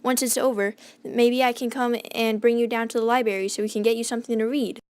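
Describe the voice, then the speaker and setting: A woman's voice: a little high, fairly even intonation, fast, a little mellow, no room sound, natural voice. Woman as a kind, nervous young bellhop. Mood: friendly. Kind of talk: radio drama acting.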